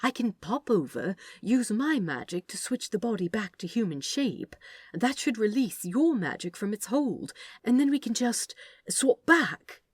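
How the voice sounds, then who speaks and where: A woman voicing an anxious, fussy male angel in an audiobook story, earnest and halting as he explains himself. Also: fairly high pitch, sing-song, breathy edge, no echo, studio quality, pitched above the performer's own voice, heavily acted. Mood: earnest, explaining.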